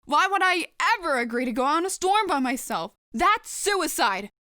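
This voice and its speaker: A woman's voice: high voice, big pitch swings, moderate pace, pushed and bright, no echo, clean studio sound, exaggerated voice. A woman voicing a sarcastic, blunt, rebellious young pegasus in a dramatized story reading. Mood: skeptical. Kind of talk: dramatized fan fiction reading.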